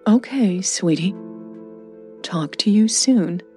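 Woman playing a blunt, caring mother, slow and mellow. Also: medium pitch, expressive, faint music behind. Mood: tender. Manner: blunt, emotional. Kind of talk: audio drama dialogue.

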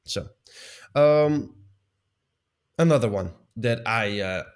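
A man's voice: mid-range voice, expressive, takes it slowly. A man speaking English as a second language in a casual chat, hesitant, with many fillers and restarts. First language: not English.

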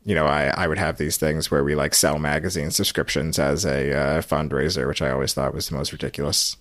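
Low-voiced American man hosting a podcast. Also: fairly even intonation, brisk, fairly bright tone, no echo, clean studio sound. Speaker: podcast host. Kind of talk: casual recap chat.

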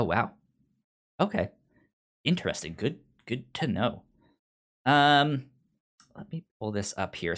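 A man's voice: medium pitch, a bit bright. A man co-hosting a podcast in casual chat, stuttering heavily on first sounds of words. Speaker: podcast co-host.

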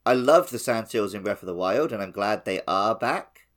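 Casting: podcast co-host with a very animated, up-and-down delivery for casual gaming chat. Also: mid-range voice, normal speed. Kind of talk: casual podcast chat about a video game.

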